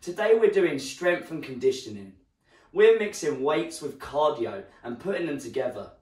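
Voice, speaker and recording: Casting note: young male fitness coach, croaky, encouraging, a bit high, recorded in a roomy living room by a far-off camera. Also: sing-song, moderate pace, darkish tone. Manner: encouraging, instructional, moving while talking. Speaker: fitness instructor. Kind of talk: leading an online workout.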